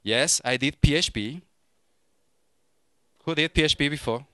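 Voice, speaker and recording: Bright-voiced Mexican man giving a tech talk. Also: a little high, measured pace, clean tone, no echo, clean studio sound. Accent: Mexican Spanish. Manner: lively, joking, frequent restarts. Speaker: conference speaker. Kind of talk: technical conference talk. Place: conference room with audience. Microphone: handheld microphone.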